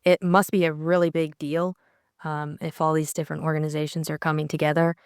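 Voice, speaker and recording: A woman's voice: low-pitched, flat delivery, fairly quick, clean tone, no echo, clean studio sound. A young adult woman co-hosting an audiobook, reading narration and casually discussing the book.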